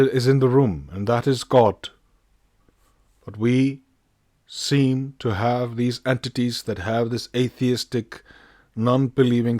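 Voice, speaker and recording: A man giving unscripted commentary in a halting, emphatic way, often posing rhetorical questions. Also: medium pitch, darkish tone, no echo, clean studio sound. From Iceland. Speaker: video commentator.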